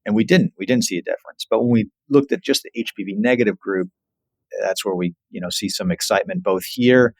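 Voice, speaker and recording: A man with a fairly low voice speaks as an expert interview guest. He explains in an informal, plain-worded way, recorded dry with hardly any room sound. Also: brisk, no room sound, poor audio. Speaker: surgical oncologist, podcast guest.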